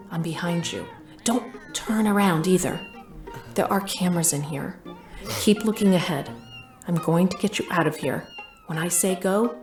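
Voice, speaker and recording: A woman's voice: on the low side, close to an everyday voice. A woman playing a resolute, stealthy mother and doctor who narrates a scripted audio drama. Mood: hushed urgency. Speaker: narrator and lead actor.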